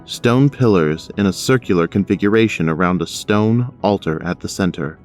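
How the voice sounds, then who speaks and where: A man's voice: low voice, moderate pace, darkish tone, faint music behind. A man narrating a scripted action audio drama.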